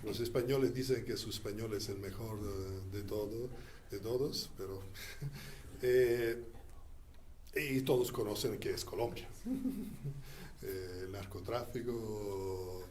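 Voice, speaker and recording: A man who learned Spanish as a second language recounts his years as a Church diplomat in an interview, speaking deliberately with frequent pauses. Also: on the low side, a little mellow, slight background noise. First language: not Spanish.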